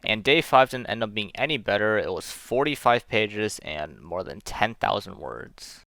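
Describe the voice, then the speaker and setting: Low, smooth young man narrating. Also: animated, normal speed, a bit bright, no room sound. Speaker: amateur game developer narrating a video. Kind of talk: scripted video narration.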